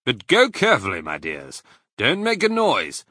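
A man's voice: mid-range voice, wildly swooping pitch, normal speed, sharp tone, husky, dry recording, clean studio sound, voice pushed up, full character voice. A man voicing a clever, proud male fox father in a storybook reading, fatherly and pleased.

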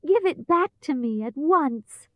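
A woman's voice: sits high, expressive, takes it slowly, dry recording, narrow, tinny audio, pitched above the performer's own voice. A woman voicing a brave, earnest young girl in a storybook, with an emphatic delivery. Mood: angry.

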